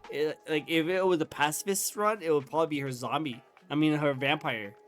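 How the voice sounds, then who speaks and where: A man's voice: fairly high pitch, a little fast. Enthusiastic rambling male interview host. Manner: rambling, enthusiastic, many fillers and restarts. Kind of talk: casual interview chat. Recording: faint music underneath.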